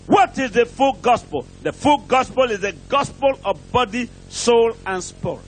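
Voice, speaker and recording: High-pitched, emphatic male preacher. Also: fairly bright tone, a little hiss or hum, rough recording. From outside England, preaches there as a visitor. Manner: emphatic, declamatory, repetitive short phrases with rhetorical questions. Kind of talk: impassioned prosperity sermon. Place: church pulpit, preaching to a congregation.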